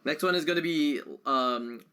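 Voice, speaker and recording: A man's voice: a little high, moderate pace, a little hiss or hum. A man chatting casually while he plays a video game live, commentating in short asides. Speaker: speedrunner playing and commentating. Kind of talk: casual live gameplay chat. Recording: loud music behind the voice. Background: video game music.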